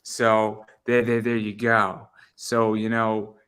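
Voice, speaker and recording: Low, bright-voiced man around thirty. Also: completely flat delivery, slow pace, smooth. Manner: stammering, repeats words as he thinks aloud. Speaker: interview show host. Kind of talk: casual chat.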